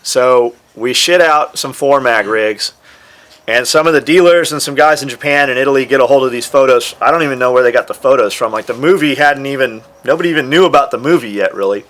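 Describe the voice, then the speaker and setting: A man with a mid-pitched voice talks in a loose, offhand way. He sounds like a business owner casually recounting how things grew, dropping swear words along the way. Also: brisk, fairly bright tone. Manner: offhand, profane. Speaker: gear maker and business owner. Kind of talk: casual storytelling about his company.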